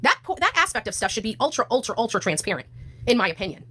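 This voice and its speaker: A woman's voice: fairly high pitch, very animated, fast, bright, forceful tone. An American woman talking off the cuff as a livestream host, ranting to her viewers in a blunt, indignant and slangy way. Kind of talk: casual livestream rant, replying to chat.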